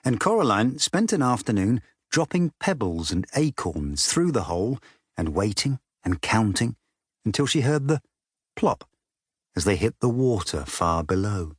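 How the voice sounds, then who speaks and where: A man's voice: lowish voice, sing-song, a little slow, husky, dry recording, studio quality. A man narrating a children's storybook as a scripted audiobook.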